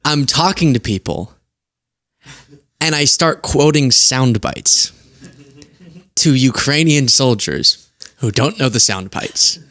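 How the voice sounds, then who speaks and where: A young man talks to camera at his studio desk, loose and giggly despite sounding worn out from little sleep. His voice sits in a middle register and the sound is close and dry, with no room around it. He jumps into sudden high outbursts and quick asides. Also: lively intonation, pushed and bright, dry recording. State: tired from lack of sleep. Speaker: political commentary host. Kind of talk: casual political commentary to camera. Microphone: earpiece and studio mic.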